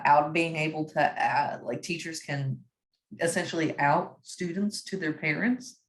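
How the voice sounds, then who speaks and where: A woman's voice: deep voice, reverberant. A woman moderating a class discussion forum on a video call, talking conversationally and often checking in with "right?".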